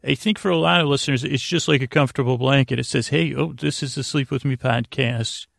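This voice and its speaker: A creaky, fry-laden man's voice at a middling pitch, in a dry recording with no room sound. He hosts a podcast meant for bedtime, meandering from tangent to tangent. His delivery is halting, and he keeps breaking off and rephrasing his own thoughts. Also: steady tone, a bit bright, no echo. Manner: meandering, hesitant, halting self-interruptions. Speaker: podcast host. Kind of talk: rambling, tangent-filled bedtime podcast monologue.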